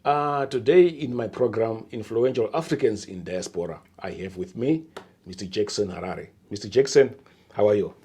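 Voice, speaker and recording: Male host, husky, dark tone, lowish voice, polite, formal prompts. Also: expressive, normal speed. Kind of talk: interview hosting.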